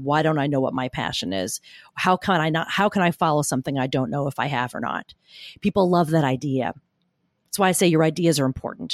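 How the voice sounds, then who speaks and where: Gruff-voiced woman, emphatic and punchy. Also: fairly low pitch, dry recording, clean studio sound. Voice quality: gruff, gritty voice. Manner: emphatic, argumentative, animated storytelling. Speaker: guest speaker coach. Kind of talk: podcast interview.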